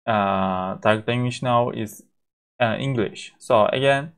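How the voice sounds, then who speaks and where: A man with a Turkish accent, a native Turkish speaker, teaching a course by walking through a software demo, with frequent fillers and halting restarts. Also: mid-range voice, smooth. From Turkey. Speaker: course instructor.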